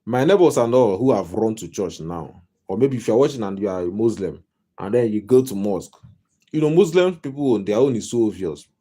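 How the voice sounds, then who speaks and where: A man's voice: lowish voice, animated, a little fast, mellow, husky. A man with a Nigerian accent giving a casual live-stream monologue as a life coach, rambling and repeating himself with wry humor. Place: home.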